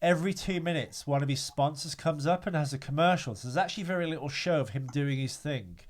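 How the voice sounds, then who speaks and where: A man's voice: on the high side, fast. A man hosting a podcast in casual chat, telling things in a rambling, wryly understated way. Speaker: podcast host.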